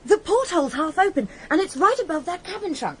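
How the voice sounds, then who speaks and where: An actress playing an earnest, quick-witted young woman in a radio drama. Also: very high-pitched, expressive. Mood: urgent, alert. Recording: noisy radio drama soundscape.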